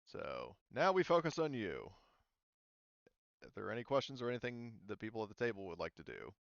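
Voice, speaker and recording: Smooth-voiced man running a game, slightly dull sound. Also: lowish voice, very animated, brisk, no room sound. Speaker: game master. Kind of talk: narrating a tabletop roleplaying game.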